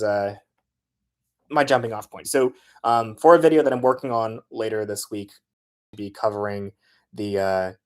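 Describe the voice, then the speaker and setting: Chatty man explaining how he makes videos. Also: mid-range voice. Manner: loose and chatty, frequent filler sounds. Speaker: transit video creator. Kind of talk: casual how-to talk.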